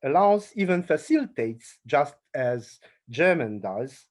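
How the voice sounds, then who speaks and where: Halting Hungarian-accented academic man. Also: fairly high pitch, very animated. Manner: slow, halting delivery with frequent filler pauses. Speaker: conference speaker. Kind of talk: academic paper presentation.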